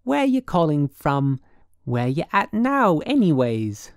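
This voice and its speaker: A woman's voice: low voice, very animated, takes it slowly, no room sound, clean studio sound, pitched above the performer's own voice, heavily acted. A woman voicing a brassy working gal in an audiobook, slangy and suspicious, with affectedly genteel airs. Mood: flirty, gracious.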